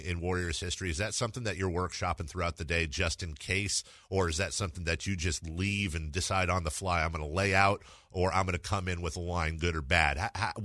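Male sports talk host, low and forceful, wordy interviewer, dry close studio sound. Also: no room sound.

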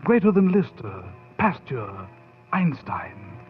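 An actor playing a proud, bitter European mad scientist professor in a theatrical 1940s radio horror monologue. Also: male voice, on the high side, sing-song, slow pace, narrow, tinny audio, faint music behind. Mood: boastful, fervent. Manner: theatrical, grandiose, swinging in intensity. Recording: thin band-limited 1940s radio recording.

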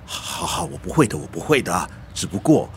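A man's voice: medium pitch, very animated, normal speed, creaky, a little hiss or hum. A male actor in a radio drama playing a deferential, meddling, worried manservant, hesitant and fussy.

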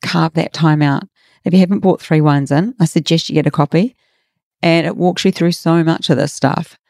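A middle-aged woman hosts a motivational podcast, her voice raspy and hoarse. She talks in an earnest, encouraging way, her tone mellow and warm. The recording is dry and close, with no room sound. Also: deep voice, moderate pace, no echo. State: hoarse from a workshop. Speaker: podcast host and coach.

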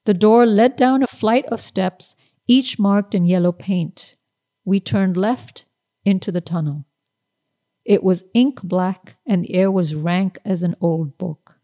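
A woman author reading her own literary short story aloud in a measured, unhurried way. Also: medium pitch, dark tone.

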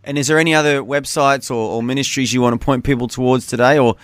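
Male interview host, mid-range voice, encouraging and clear, dry close studio sound. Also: mid-range voice, fairly even intonation, quick pace, a bit bright, no echo. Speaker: radio host. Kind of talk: interview hosting.

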